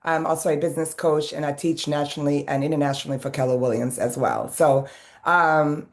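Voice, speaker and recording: A woman's voice: deep voice, steady tone, a little fast. A woman in her fifties, raised in Sweden, chatting as a guest in an interview about her background and business. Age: 50s. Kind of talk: casual interview.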